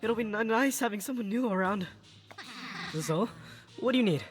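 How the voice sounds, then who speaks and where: An actor playing a nervous, eager young resistance member in a video game, stammering and earnest. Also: male voice, high-pitched, lively intonation, normal speed. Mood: friendly, hesitant. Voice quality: stuttering. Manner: hesitant, earnest. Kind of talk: video game quest dialogue. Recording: background music under dialogue.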